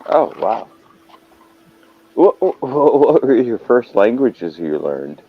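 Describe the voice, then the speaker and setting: A man's voice: medium pitch, very animated, slow pace, highs cut off. A man hosting a casual live chat, rambling and hesitant as he talks. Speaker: host.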